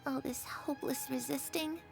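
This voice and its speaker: A woman voicing the ghost of a young girl in a video game, wistful, bitter and resigned, speaking in a measured way. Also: high-pitched, fairly even intonation, vocal fry. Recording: game audio with music underneath.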